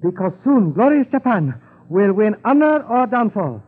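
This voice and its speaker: An actor playing a curt, zealous Japanese spy chief in an old radio drama, speaking stilted, formal English in a husky voice. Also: male voice, sits high, big pitch swings, normal speed, full character voice. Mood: solemn, zealous. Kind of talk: 1940s radio acting. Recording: tinny, muffled 1940s radio recording.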